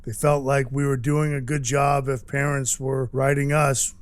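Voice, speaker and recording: A man playing a scruffy, apologetic Christmas dwarf in an audio drama, telling his story in a halting, folksy, sincere way. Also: mid-range voice, little pitch movement, no echo, close to an everyday voice. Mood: sincere, chatty. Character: male Christmas dwarf turned Santa, scruffy, apologetic, sentimental. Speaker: actor in an audio play.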